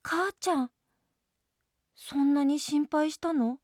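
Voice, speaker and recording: A little jellyfish boy from a storybook, acted out by a woman, pitched high and plaintive. He protests in a childish, whining way, pleading to be believed. The sound is close and dry. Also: slow pace, darkish tone, dry recording. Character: small whiny jellyfish boy, teased and plaintive.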